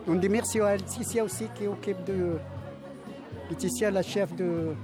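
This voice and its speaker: A man's voice: sits high, steady tone, normal speed. A man from Morocco, chatting eagerly in broken phrases at an outdoor neighbourhood party. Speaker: long-time resident. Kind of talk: casual interview chat. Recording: outdoor party with music and crowd.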